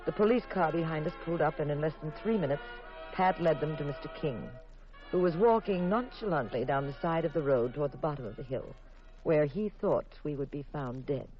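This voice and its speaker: A woman's voice: low-pitched, a little fast, close to an everyday voice. Woman acting witty detective's wife, dull old radio sound. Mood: poised storytelling. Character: witty detective's wife, poised and playfully jealous. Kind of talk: 1950s radio acting, narration and dialogue. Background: music.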